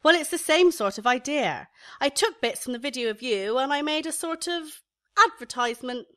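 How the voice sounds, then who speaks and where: A woman's voice: high voice, expressive, normal speed, a bit bright. An actress playing an eager, chatty young female shop assistant in an amateur radio comedy. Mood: modest, pleased. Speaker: actor in amateur radio play.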